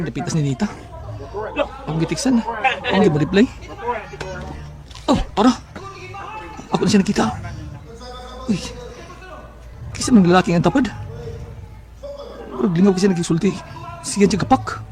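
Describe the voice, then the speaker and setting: A man's voice: high voice, lively intonation, moderate pace, long pauses, a little mellow, soft background music, some chatter behind. An actor playing a jealous, nagging young man in a Cebuano Bisaya radio drama. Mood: casual, teasing. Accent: Cebuano Bisaya, Philippines.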